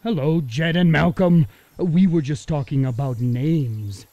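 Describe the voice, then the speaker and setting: An actor playing an affable small-town mayor and realtor in a radio play, folksy and eager to please. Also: male voice, fairly high pitch, measured pace, mellow, no echo, dull audio. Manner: folksy, accommodating.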